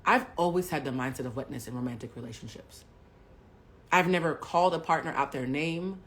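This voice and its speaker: Woman, forties, low voice, blunt profane storyteller, livestream host, loud bursts and quiet asides. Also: fairly quick, slight background noise. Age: 40s. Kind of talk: candid storytelling to a live audience.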